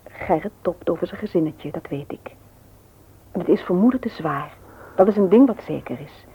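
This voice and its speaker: An actress playing an earnest, gently persuasive married woman in a radio play, often hesitant and soft. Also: lowish voice, lively intonation, normal speed, husky, narrow, tinny audio. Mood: hesitant, hopeful. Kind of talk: Dutch radio play acting.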